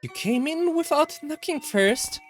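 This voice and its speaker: Performer putting on female visual novel character, very high pitch, blunt, matter-of-fact, curious, simply phrased. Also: expressive, measured pace, soft background music.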